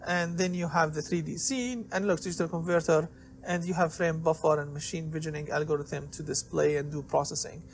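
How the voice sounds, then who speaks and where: A man's voice: a little high, slight background noise. A man giving an informal explanatory lecture in a didactic way, posing questions and answering them himself. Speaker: lecturer in biomedical engineering.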